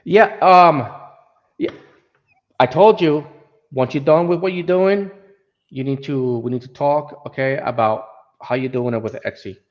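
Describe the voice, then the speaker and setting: A man's voice: on the high side, measured pace, long pauses, muffled recording. A Puerto Rican man with an accent, chatting casually as a guest and restarting often. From Puerto Rico. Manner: hesitant, many restarts. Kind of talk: casual chat.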